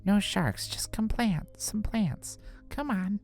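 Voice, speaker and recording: A woman's voice: medium pitch, animated, slow pace, a little mellow. A woman voicing an impatient tween girl who is eager to fit in, coaxing and dismissive in a visual novel game. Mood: pleading, coaxing.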